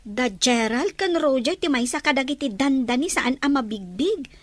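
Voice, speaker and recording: A woman's voice: high-pitched, expressive, normal speed, no room sound. A woman acting an inquisitive young confidante with a Filipino accent in an Ilocano audio drama.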